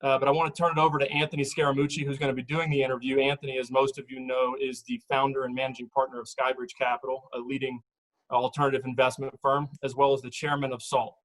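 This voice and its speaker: A man's voice: mid-range voice, level tone, quick pace, fairly bright tone, poor audio. A man moderating an event, reading formal introductions of the speakers with filler uhs.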